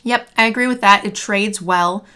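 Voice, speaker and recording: A young woman hosting a card game review, a bit hoarse as her voice is failing, talking in an upbeat conversational way. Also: mid-range voice, moderate pace, pushed and bright. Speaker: host. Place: home streaming room, indoors.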